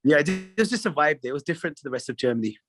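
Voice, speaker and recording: A man, a singer chatting as a guest in an interview, animated, with lots of fillers. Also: fairly high pitch, rapid-fire, no echo, faint music behind. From England. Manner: animated storyteller, laughs often, many fillers. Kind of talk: casual interview chat.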